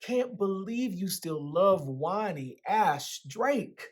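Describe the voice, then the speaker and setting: A woman's voice: medium pitch, lively intonation, slow pace, a little mellow. A woman playing a cocky, teasing young man with slangy, swaggering speech in a dramatic reading of a novel.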